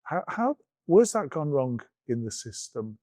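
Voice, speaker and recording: Male podcast interviewer, mid-pitched, emphatic and probing, recorded dry. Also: very animated, measured pace, dark tone, no echo. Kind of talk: conversational interview.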